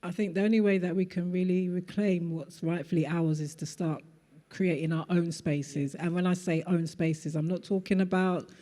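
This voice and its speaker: Woman artist talking earnest on a panel, mellow dark tone, lots of "like", big echoey hall. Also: on the low side, little pitch movement, moderate pace. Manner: earnest, with many "like" fillers. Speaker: guest artist. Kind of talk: answering a question at a panel talk. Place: event hall. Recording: long reverb of a hall.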